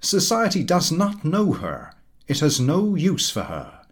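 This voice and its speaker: A man's voice: a little high, wildly swooping pitch, unhurried, voice pushed up, heavily acted. A man voicing a pompous, opinionated American guest who lectures a dinner table in grand declamatory style, in a storybook reading. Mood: disdainful. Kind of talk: storybook character dialogue.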